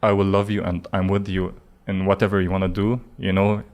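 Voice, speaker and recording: A man's voice: sits low, little pitch movement, brisk, a little mellow. A man in his early twenties from Lebanon, a podcast guest talking earnestly about his path, with many fillers and restarts. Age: 20s. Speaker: guest, digital marketing specialist. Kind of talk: casual podcast interview.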